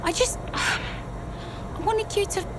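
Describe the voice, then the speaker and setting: A woman's voice: extremely high pitch, very slow, noisy background, full character voice. An actress playing a young female racing driver who resents her family and speaks in a direct, guarded, bitter way. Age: young adult. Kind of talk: video game cutscene acting. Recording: game cutscene with loud vehicle ambience.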